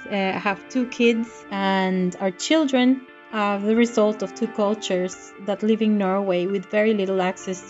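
A woman's voice: medium pitch, dull audio. A middle-aged woman from Costa Rica, a curator, speaking passionately and frankly in an interview. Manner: passionate, outspoken. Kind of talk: interview talk.

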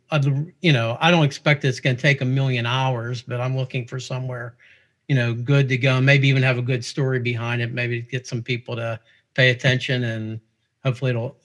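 A man from Pittsburgh talks in a loose, rambling way with a clear Pittsburgh accent. He chuckles often as he chats as a guest musician, in an ordinary mid-range voice. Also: medium pitch, fairly bright tone. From Pittsburgh, USA. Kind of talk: casual chat.

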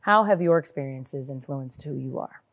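A woman with a very low voice leads a panel discussion. She asks earnest, measured questions and draws out the others. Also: expressive. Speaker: moderator.

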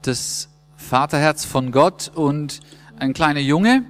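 A man hosting a casual church interview, asking friendly, joking questions at an unhurried pace. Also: on the high side. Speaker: interview host in church service. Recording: long reverb.

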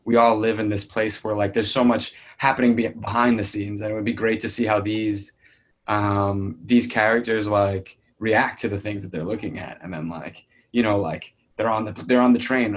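Lower-pitched man chatting in rambling bursts. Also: steady tone, a little fast, husky. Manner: rambling, peppered with "like" and "you know". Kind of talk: casual chat.

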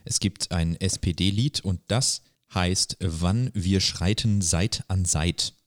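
A man's voice: deep voice, slow, no echo. A man co-hosting a podcast, chatting casually in a dry, tongue-in-cheek way. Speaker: podcast co-host. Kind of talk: casual chat.

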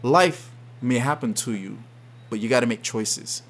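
A man from Saint Kitts, a podcast guest telling a story in lively conversation, often laughing and acting out what people said. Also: medium pitch, a little slow. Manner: animated, laughs often, acts out quotes.

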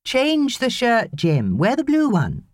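A British woman voicing a brisk, fussing young mother in an audiobook. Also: a little high, huge pitch swings, a little mellow, no echo, studio quality, pitched above the performer's own voice, full character voice. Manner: brisk, instructing.

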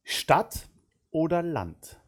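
Husky male host with a lively voice. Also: a little high. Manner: brisk quiz-style questioning. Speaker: podcast host. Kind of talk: casual podcast interview.

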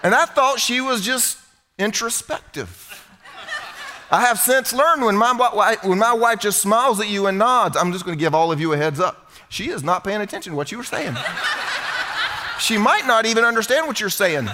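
A man's voice: sits high, animated, normal speed, background noise. A middle-aged man preaching a sermon to a congregation in a church hall, in a conversational, humorous way. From United States. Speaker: pastor.

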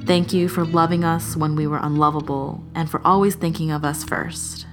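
A woman reading a scripted, earnest narration in a measured way. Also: low voice, fairly even intonation, music in the background. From Chinese heritage.

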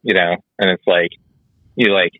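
Halting man in his thirties on a tinny line. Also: low-pitched, steady tone, dry recording. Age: 30s. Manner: easygoing, halting, many fillers. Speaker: guest. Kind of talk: casual chat. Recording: tinny phone-like call line.

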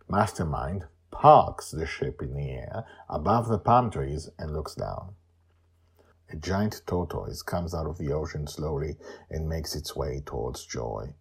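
A man's voice: rumbling low, vocal fry, husky. A man hosting and telling a story in a theatrical, emphatic way, with asides to his listeners. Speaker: storyteller and host.

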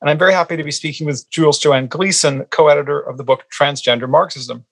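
A man hosting a podcast, asking a guest questions in a polite, slightly halting way. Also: on the high side, fairly even intonation, gravelly, no echo. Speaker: podcast host.

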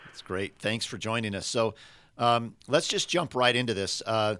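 A man hosting a podcast, his voice scratchy and gravelly from being sick, talking in a rambling, friendly way with many restarts. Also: on the low side, lively intonation, normal speed, fairly bright tone, no room sound. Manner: rambling, self-correcting, friendly. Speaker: podcast host.

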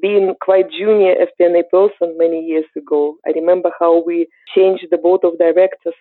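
A woman with a Ukrainian accent, in her forties, answering interview questions thoughtfully with many pauses and fillers. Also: lowish voice, steady tone, no room sound. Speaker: guest. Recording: dry, tinny phone-like line.

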